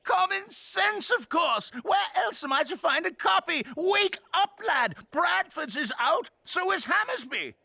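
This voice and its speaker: A woman voicing a frantic, demanding townsperson customer in a cartoon, shrill, creaky and exclamatory. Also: huge pitch swings, normal speed, hardly any pauses, fairly bright tone, exaggerated voice. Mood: frantic urgency. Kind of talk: animated cartoon character acting.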